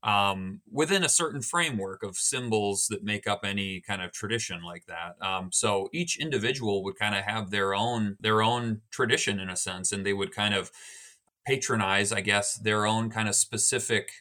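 American man, 30s, author guest, bright tone, lowish voice, relaxed and explanatory. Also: moderate pace. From United States. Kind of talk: casual podcast conversation.

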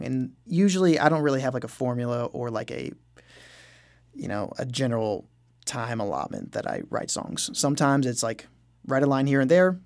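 A man's voice: mid-range voice, gravelly. A man speaking as a musician interview guest, reflective and earnest in a conversational style.

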